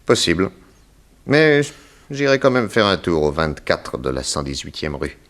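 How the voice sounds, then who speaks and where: A deep-voiced private detective in a vintage French radio play. He questions people with measured courtesy and quiet persistence. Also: sing-song, exaggerated voice. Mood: probing, courteous. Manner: polite, measured, probing. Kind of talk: 1960s French radio drama acting.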